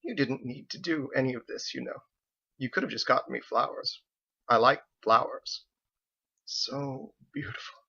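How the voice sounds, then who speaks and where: A man's voice: mid-range voice, expressive, moderate pace, vocal fry, sharp tone, breathy edge, muffled recording, heavily acted. A man voicing a loving, worried wife in her sixties, affectionate and pleading, as a character in an audiobook. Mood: wistful. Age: 60s. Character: loving, worried sixty-five-year-old wife, bustling and warm.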